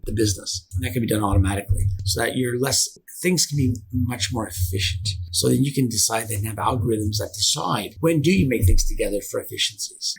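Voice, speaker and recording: Male interview guest, company director type. Gravelly, fast delivery, explains technical matters informally with repeated words. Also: mid-range voice, fairly bright tone, a little hiss or hum, rough recording.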